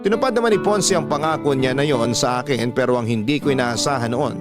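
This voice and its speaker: A man's voice: medium pitch, fast. A man reading a listener's letter aloud in an earnest, first-person storytelling voice for a radio show. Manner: earnest storytelling, addresses the host by name. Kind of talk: scripted first-person narration. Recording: dry studio sound with music bed.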